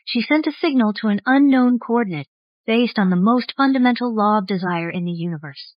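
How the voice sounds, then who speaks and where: A woman's voice: fairly high pitch, brisk, no echo, highs cut off. A woman delivering scripted narration of a fantasy story.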